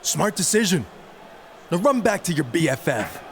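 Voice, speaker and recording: A man's voice: fairly high pitch, big pitch swings, normal speed, slight background noise. A man playing a resentful, sneering villain wrestler in a video game, delivering a taunting promo about his lost title. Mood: menacing.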